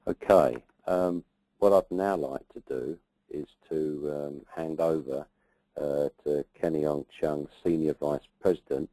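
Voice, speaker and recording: A male moderator speaks in a very deep, mellow voice, hesitating often as he hands over to the next speaker. The sound is dry, with no room around it. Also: fairly even intonation, measured pace, no room sound, rough recording. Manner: hesitant, apologetic. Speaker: event moderator.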